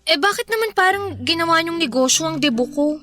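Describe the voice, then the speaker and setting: Very high-pitched pleading teenage daughter. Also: female voice, expressive, fast, sharp tone, heavily acted. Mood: worried, pleading. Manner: pleading, polite but complaining. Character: earnest, protesting teenage daughter nearing eighteen. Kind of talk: radio drama acting.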